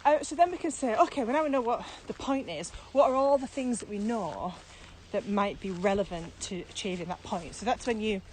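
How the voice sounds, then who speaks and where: A woman's voice: mid-range voice, brisk, hardly any pauses, darkish tone, a little hiss or hum, rough recording. A British woman co-hosting a casual podcast as a training expert, explaining emphatically with repeated key phrases and rhetorical questions.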